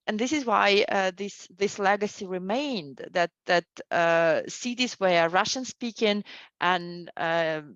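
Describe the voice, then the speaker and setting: A woman's voice: medium pitch, fairly bright tone, no room sound, highs cut off. A middle-aged woman, a guest historian, answering interview questions with many fillers and restarts.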